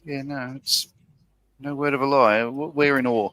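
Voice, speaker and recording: A man with a bright, forceful voice at a middling pitch chats casually. He rambles a little, stopping and restarting around fillers. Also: fairly even intonation, moderate pace. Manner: rambling, hesitant, full of fillers. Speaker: co-host. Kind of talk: casual chat.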